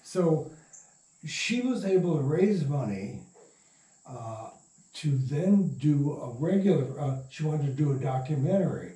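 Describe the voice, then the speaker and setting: A man's voice: fairly high pitch, animated, measured pace, mellow. An older man being interviewed, telling anecdotes in a casual, rambling way. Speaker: interview guest. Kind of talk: casual storytelling reminiscence. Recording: roomy echo, distant from mic.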